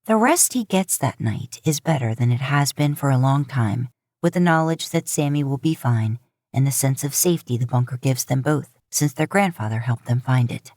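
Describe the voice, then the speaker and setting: Low-pitched woman narrating a story. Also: animated, normal speed, darkish tone, no echo, clean studio sound. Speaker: podfic narrator.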